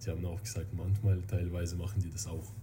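Russian guy w low voice chatting casually, searches for words, noisy recording. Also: level tone. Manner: halting, searching for words in non-native German. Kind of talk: casual interview chat.

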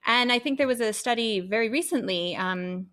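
A woman's voice: a little high, moderate pace, fairly bright tone, smooth. A woman speaking as an expert on singing, explaining how the voice works with everyday comparisons. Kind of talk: explanatory conversation.

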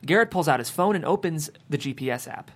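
A man's voice: fairly high pitch, expressive, fast, fairly bright tone. Man reading screenplay stage directions. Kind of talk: scripted narration, screenplay table read.

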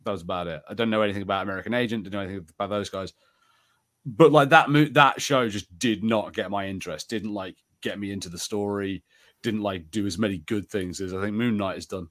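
A man with a fairly low voice talks as a podcast co-host. His delivery is casual and conversational, rambling along with lots of "like" as he gives his opinions. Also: fast, a bit bright. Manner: rambling and conversational, heavy use of "like". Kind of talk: casual chat about TV shows.

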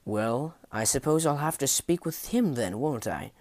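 A man's voice: mid-range voice, lively intonation, a little slow, darkish tone, dry recording, voice pushed up. A man voicing a poised traveling lord in a story, formal, polite and assertive. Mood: polite, confident. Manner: formal, courteous, self-assured. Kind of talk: audiobook character voice.

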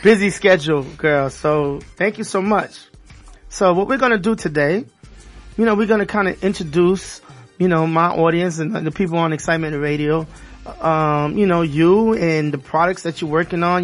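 Male radio host, casual and slangy, voice on the high side. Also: normal speed, soft background music. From Haitian background. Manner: loose, slangy, repeats himself. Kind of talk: casual interview.

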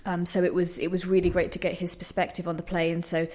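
Young female writer for an interview, lowish pitch, earnest and somewhat hesitant, conversational delivery. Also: completely flat delivery, fast talker, warm tone. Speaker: playwright, interview guest. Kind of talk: casual interview chat.

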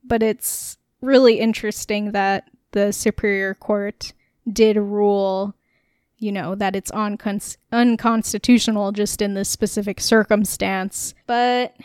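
A woman's voice: medium pitch, steady tone, measured pace, a little mellow, smooth, dry recording, clean studio sound. A woman co-hosting a casual political podcast, explaining at length with a wry, sarcastic edge and frequent laughs. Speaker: podcast co-host.

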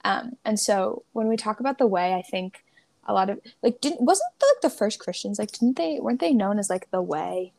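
A woman's voice: medium pitch, expressive, normal speed, vocal fry, darkish tone, a little hiss or hum. A young woman co-hosting a casual faith podcast over a remote call, joking and self-deprecating as she talks. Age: young adult. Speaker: podcast co-host. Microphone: remote call with headphones.

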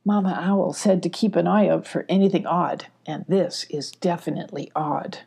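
A woman's voice: on the low side, steady tone, moderate pace, vocal fry, dark tone, slightly rough. A woman voicing a serious, worried animal in a children's storybook, speaking with earnest concern.

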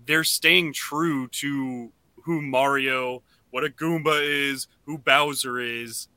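A man co-hosting a podcast in casual chat, often halting and restarting his thoughts. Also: medium pitch, steady tone, pushed and bright, clear, resonant tone, no echo. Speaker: podcast co-host.

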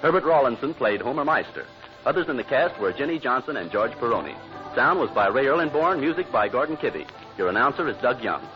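Animated old-time American radio announcer, male. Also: mid-range voice, a little fast. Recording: muffled old radio transcription. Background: music.

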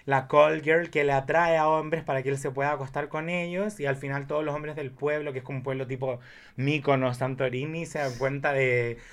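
A man's voice: mid-range voice, steady tone, brisk. Man in casual film chat. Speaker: guest film enthusiast.

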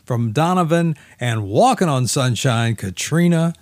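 Man chatting casually, lively rising and falling pitch. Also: unhurried, no echo, clean studio sound. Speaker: radio show co-host. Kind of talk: casual chat about pop songs.

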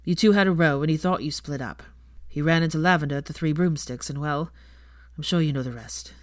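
A woman's voice: low-pitched, brisk, no echo, clean studio sound, close to an everyday voice. Woman voicing a subdued, earnest young man. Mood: concerned, downcast. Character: earnest, protective young man, a loyal concerned friend.

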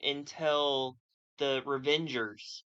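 A man co-hosting a casual podcast chat, matter-of-fact and quick to finish his co-host's sentences. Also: mid-range voice, steady tone, a bit bright, smooth, dull audio. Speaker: podcast co-host.

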